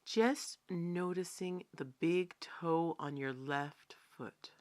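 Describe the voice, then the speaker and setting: A woman leads a guided body scan meditation in a slightly low voice. Her delivery is very slow and calm, with long pauses between phrases. She instructs gently, as a teacher would, never hurrying the listener.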